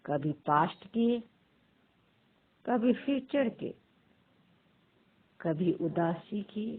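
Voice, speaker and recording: A woman leads a guided meditation as a teacher, pausing between short, measured phrases in a husky, creaky voice. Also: on the low side, animated. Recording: thin phone-like sound.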